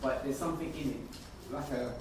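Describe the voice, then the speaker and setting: A man's voice: mid-range voice, normal speed. A man speaking earnestly in a group discussion, emphatic and repeating phrases for weight. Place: echoey indoor room. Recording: very echoey room, distant mic, noisy.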